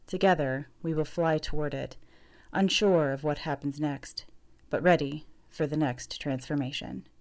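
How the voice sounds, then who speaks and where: Female reader, low pitch, literary narration, lyrical scripted prose. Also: fairly even intonation, measured pace, a little mellow.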